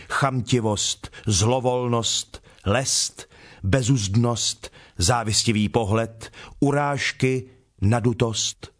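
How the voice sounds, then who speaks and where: An actor playing Jesus as a stern, earnest teacher in a dramatized scripture reading, with measured, emphatic delivery. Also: male voice, fairly low pitch, natural voice. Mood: grave. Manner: measured, emphatic, solemn declamation. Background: music.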